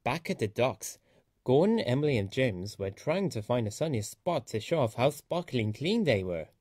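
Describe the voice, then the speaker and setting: Animated man reading a children's story. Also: medium pitch, normal speed, no room sound, studio quality. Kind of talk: read-aloud children's story narration.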